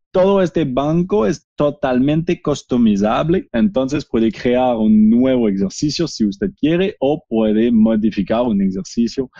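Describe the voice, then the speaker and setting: A French Canadian man speaking Spanish as a second language, with a Québécois French accent, presenting a software webinar in a halting way with many fillers. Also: mid-range voice, vocal fry, breathy edge, no echo, muffled recording. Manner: halting, frequent "eh" fillers and restarts. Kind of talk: product demo webinar.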